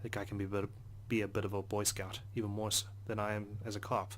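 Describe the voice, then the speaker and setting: A man reading visual novel narration aloud as a let's play host, subdued, with hushed asides. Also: on the low side, completely flat delivery, quick pace, a little hiss or hum. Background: soft guitar music.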